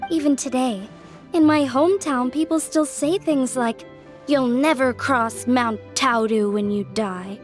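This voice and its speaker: High-voiced, gracious young artisan. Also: female voice, a little slow. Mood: storytelling. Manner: polite, self-deprecating, genteel. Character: gracious, humble young female artisan, eager storyteller. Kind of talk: video game voice acting. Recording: music behind the voice.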